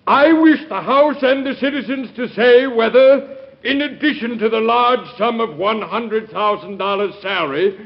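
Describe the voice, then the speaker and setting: Very high, creaky pompous congressman. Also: moderate pace. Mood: pompous, indignant. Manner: pompous, declamatory. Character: indignant congressman orator denouncing presidential extravagance. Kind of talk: oratorical radio drama speech. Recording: muffled vintage radio recording.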